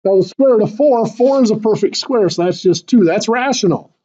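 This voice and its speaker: A man teaches a math class, walking through each idea step by step with small fillers and restarts. His voice sits high and sounds hoarse, with frequent throat clearing as he loses it. The recording is dull and lo-fi. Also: fairly quick, mellow.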